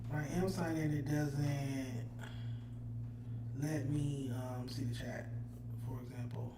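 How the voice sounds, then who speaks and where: A man's voice: medium pitch, fairly even intonation, slow, roomy sound, noisy background. A man with a Los Angeles accent hosting a casual livestream chat, loose and chatty, reading out viewer comments. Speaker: podcast host.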